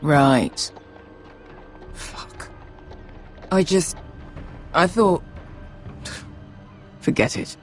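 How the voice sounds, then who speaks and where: A low, slow-spoken woman sellsword, tough and scarred by battle. She is blunt, gruff and sweary, in video game drama style, with faint music underneath. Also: sing-song, heavily acted. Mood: troubled.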